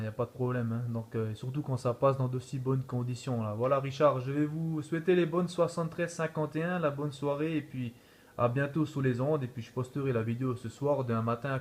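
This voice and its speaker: A man's voice: mid-range voice, darkish tone, a little hiss or hum. A man chatting casually as an amateur radio operator, in an easygoing way with frequent repeated tag words. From France. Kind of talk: casual radio contact chat.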